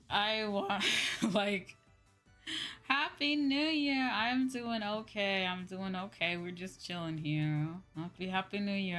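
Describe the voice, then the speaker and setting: A woman hosting a casual livestream, chatting with viewers in a drawn-out, exclamatory way and often repeating her phrases. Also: fairly low pitch, fairly bright tone. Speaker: livestream host.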